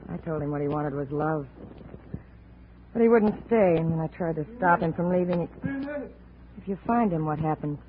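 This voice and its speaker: A woman's voice: deep voice, normal speed, pauses often, close to an everyday voice. An actress playing an intense, lovelorn woman in an old-time radio drama, insistent, dramatic and defiant. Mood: yearning. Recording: muffled, faintly noisy vintage radio recording.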